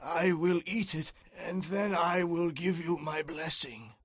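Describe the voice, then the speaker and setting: Guy putting on a shaky old dad voice, high and thin, really slow, frail and solemn. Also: a bit bright, breathy edge, no room sound, clean studio sound, full character voice. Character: aged blind father, frail and solemn.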